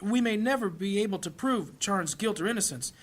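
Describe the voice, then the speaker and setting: Husky, devout fatherly priest. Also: high voice, lively intonation. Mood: earnest, pleading. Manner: measured, preaching. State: coughing, ailing. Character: earnest, devout old male priest, fatherly. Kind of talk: dramatic fantasy audio drama acting.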